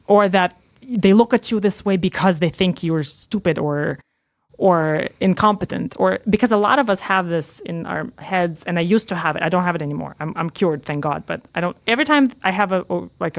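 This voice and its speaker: Animated woman talking about teaching. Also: lowish voice. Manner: animated, enthusiastic, many restarts. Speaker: guest, pianist and teaching assistant. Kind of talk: conversational interview answers.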